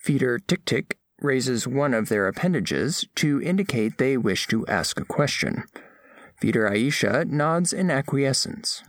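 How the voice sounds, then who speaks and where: A man plays a studious alien envoy giving a mission report in an audio drama. The diction is formal and exact, with a mid-range pitch that moves expressively. The sound is dry, with very little room. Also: expressive, no echo. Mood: observant, curious. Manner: formal, precise, deliberate diction. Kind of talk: scripted first-person narration, audio fiction.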